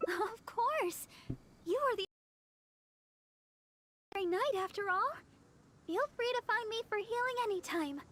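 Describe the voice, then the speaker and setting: A woman voicing a gentle, modest young church healer girl in a video game, earnest and soft-spoken. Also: extremely high pitch, expressive, measured pace, long pauses, heavily acted. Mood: kind, helpful.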